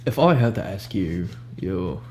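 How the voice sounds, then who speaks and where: A young man improvising a soft-spoken ASMR roleplay as a cool, ominous recruiter, talking in a casual, blunt, unhurried way over hiss and hum. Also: low voice, expressive. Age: young adult. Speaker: ASMR roleplayer playing a job interviewer. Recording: audible background hiss and hum.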